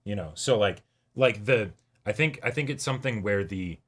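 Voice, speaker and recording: Bright, casual male co-host. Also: on the low side, lively intonation, moderate pace, slightly rough. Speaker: co-host of an anime reaction channel. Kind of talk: casual chat, reaction discussion.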